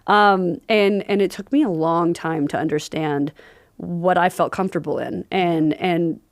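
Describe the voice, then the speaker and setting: A woman hosting a podcast, chatting casually, filling pauses with "um" and laughing easily. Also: on the low side, dry recording, studio quality. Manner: chatty, fills with "um" and "I'm like". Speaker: podcast host. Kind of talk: casual interview chat.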